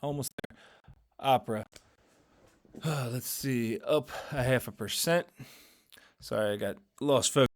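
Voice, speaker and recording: A man hosting a casual market recap, talking through trades in a rambling, conversational way with lots of fillers while his voice grows hoarse. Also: medium pitch, unhurried, slight background noise. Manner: conversational, rambling, many fillers and self-corrections. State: voice getting hoarse, losing his voice. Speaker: finance channel host.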